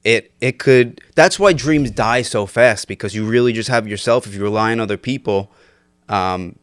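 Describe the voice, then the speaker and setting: Low-voiced young man telling stories. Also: moderate pace, no echo, clean studio sound. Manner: loose, laughing, lots of "like" fillers. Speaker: podcast guest. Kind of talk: casual storytelling chat.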